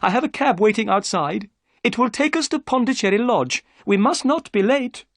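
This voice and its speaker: A narrator voicing a nervous, talkative gentleman who chatters eagerly in a raised, fussy voice. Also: medium pitch, lively intonation, moderate pace, no room sound, studio quality, pitched above the performer's own voice.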